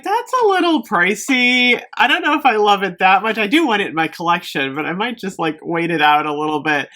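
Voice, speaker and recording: A woman chatting casually to viewers in a product review vlog, emphatic and thinking out loud as she goes. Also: mid-range voice. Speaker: beauty product review vlogger.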